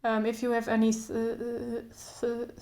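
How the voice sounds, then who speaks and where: A young woman talks to camera in a slow, level voice, with little rise and fall in her pitch. She stutters openly, repeating first sounds and pausing in blocks, and keeps going in short, thoughtful phrases. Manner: thoughtful, calm, speaks in short phrases with pauses. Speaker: vlogger. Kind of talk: casual talk to camera.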